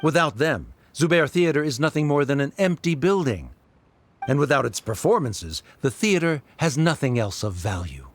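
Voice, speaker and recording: A man's voice: fairly high pitch, very animated, moderate pace, slight background noise. A man voicing a haughty academic official who argues with cold, formal condescension. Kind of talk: game voice acting.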